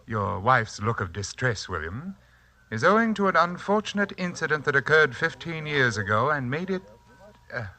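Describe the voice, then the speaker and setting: Pompous sinister gentleman, sharp forceful voice. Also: medium pitch, big pitch swings, normal speed. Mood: condescending. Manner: condescending, theatrical, elaborately formal. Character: pompous, sinister half-brother, a self-styled psychic mind scientist. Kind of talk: 1940s radio drama acting.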